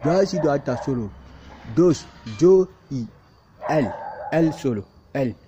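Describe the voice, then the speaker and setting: A man speaking halting, repetitive second-language Spanish, insisting and defending himself in a self-recorded plea, outdoors in a field. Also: a little high, expressive, dark tone. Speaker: migrant speaking to camera. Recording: muffled with clear background noise and barking dog.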